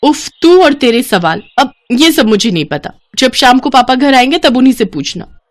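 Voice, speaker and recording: A woman's voice: high voice, very animated, moderate pace, pitched above the performer's own voice. A woman voicing an exasperated, scolding young mother in a moral story. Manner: scolding, harried.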